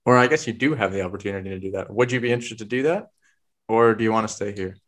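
A man hosts a laid-back interview, chatting loosely and asking open questions. His voice is a little lower than most men's. He keeps it conversational and easy. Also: racing. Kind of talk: casual interview chat.

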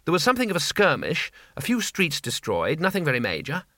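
A man's voice: on the high side, huge pitch swings, fairly quick, sharp tone, no echo, clean studio sound, heavily acted. A man voicing a timid, bespectacled little old man in an audiobook, who talks meekly and stammers. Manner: meek, hesitant and stammering.

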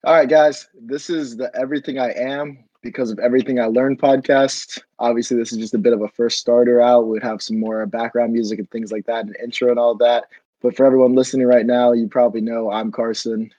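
A man's voice: mid-range voice, level tone, a little fast, mellow, no echo, highs cut off. A man in his twenties hosting a casual podcast, talking loosely with many fillers. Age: 20s. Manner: rambling, filler-heavy. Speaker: podcast host. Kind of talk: casual chat.

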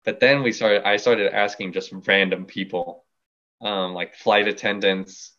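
A young man in his late teens with a low voice, chatting casually on a podcast. He tells his story in a relaxed, playfully boastful way. The recording sounds dull and lo-fi. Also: measured pace. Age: young adult. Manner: laid-back, playful boasting. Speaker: guest musician.

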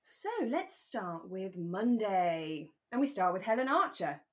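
A British woman chats as co-host of a podcast. Her pitch sits in the middle for a woman, and her manner is relaxed, casual and a little self-deprecating. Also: unhurried. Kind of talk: relaxed casual chat about a radio drama.

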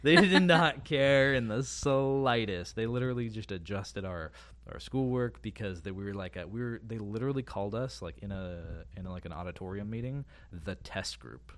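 A man in his late twenties with a very low voice, chatting loosely as a podcast co-host. He rambles and breaks off his sentences, then starts them again. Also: normal speed, fairly bright tone. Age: late 20s. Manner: rambling, self-interrupting. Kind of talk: casual chat.

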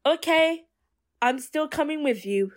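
Actress as headstrong young heroine, fairly high voice, hot-headed, audio drama delivery. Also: female voice, animated, a little slow. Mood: grudging.

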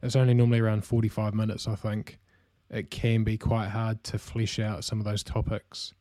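Laid-back New Zealand man, mellow voice. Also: lowish voice, moderate pace, no echo, studio quality. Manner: relaxed, many "um" fillers, easy laughter. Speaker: podcast co-host. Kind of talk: casual podcast chat.

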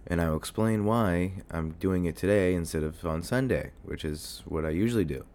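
Sick young man, very deep hoarse voice. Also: moderate pace, darkish tone. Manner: rambling, swears often, many fillers. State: sick, congested and coughing. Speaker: podcast host. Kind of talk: casual solo podcast monologue. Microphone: desk mic near a computer.

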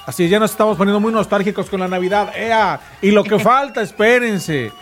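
A man's voice: high-pitched, a little fast, a bit bright. A man with a Mexican accent chatting casually and colloquially as a talk show host. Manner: colloquial, slangy. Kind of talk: casual radio chat.

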